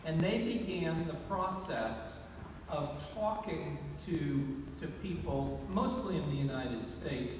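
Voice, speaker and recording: A man giving a storytelling award speech, speaking deliberately with pauses and repeated phrases. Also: a little high. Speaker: award presenter. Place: large banquet or awards hall over PA. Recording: very echoey hall, distant mic, noisy.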